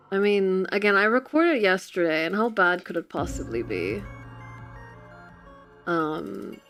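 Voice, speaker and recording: Casual woman streamer with music behind. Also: medium pitch. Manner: expressive, giggly, chatty. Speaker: livestream host and artist. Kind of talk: casual livestream chat. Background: background music.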